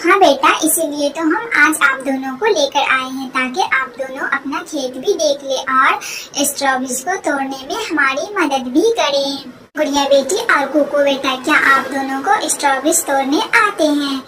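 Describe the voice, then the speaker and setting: A high-pitched cartoon mother bird, voiced by a woman, hardworking and motherly with a feisty streak. There is clear noise behind the voice. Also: normal speed, close to an everyday voice. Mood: caring.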